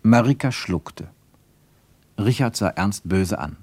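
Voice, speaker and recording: A man with a low voice narrates a radio travelogue in a measured, wryly ironic tone. The studio sound is dry and close, with no room around him. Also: lively intonation, darkish tone, slightly rough, no echo. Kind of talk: scripted literary narration; 1950s radio play.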